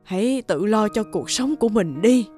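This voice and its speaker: A woman playing a grieving, confused elderly peasant mother in an animated folk tale, halting and plaintive. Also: mid-range voice, natural voice. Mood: sorrowful, resigned. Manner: plaintive, halting, repeating herself. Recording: music behind the voice.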